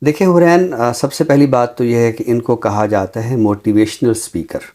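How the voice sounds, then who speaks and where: A man speaking as a teacher, explaining his views deliberately with emphatic repetition. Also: medium pitch, warm tone. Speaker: Urdu teacher, programme guest. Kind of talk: considered discussion, explanatory.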